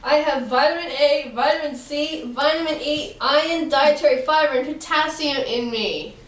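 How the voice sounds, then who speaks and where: Cheery veggie puppet girl performer, high voice, earnest recital, roomy recording. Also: normal speed, fairly bright tone. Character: cheery, informative vegetable puppets. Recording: roomy, noisy, distant amateur recording.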